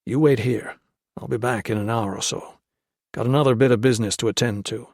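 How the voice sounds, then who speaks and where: Reader playing a cool, brash wheeler-dealer, blunt and matter-of-fact. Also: male voice, medium pitch, steady tone, a little fast, a little mellow, no room sound, clean studio sound, natural voice. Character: brash, shrewd American soldier-trader, cool and businesslike.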